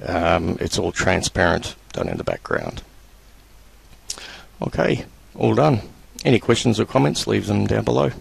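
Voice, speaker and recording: Male tutorial presenter, husky voice, dry close-mic sound, casual and hesitant delivery. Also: fairly low pitch, no room sound. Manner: relaxed, halting, many fillers. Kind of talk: screen-recorded software tutorial.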